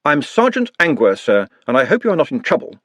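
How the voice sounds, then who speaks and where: A man's voice: on the high side, huge pitch swings, brisk, no room sound, voice pushed up. A man voicing a tired female police sergeant, dry and sarcastic.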